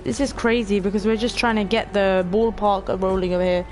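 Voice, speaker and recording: A woman in her late teens with a stuffy nose, casually narrating a game stream, chatty and excitable, swearing offhand and talking to her viewers. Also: medium pitch, brisk, soft background music. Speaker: gaming streamer. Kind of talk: casual let's-play commentary.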